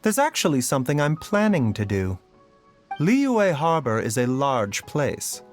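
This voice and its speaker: An actor playing a gentle, scholarly doctor in a fantasy game, speaking in a measured, polite and cautious way. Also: male voice, medium pitch, very animated. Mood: courteous musing. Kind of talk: scripted game voice acting. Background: background music.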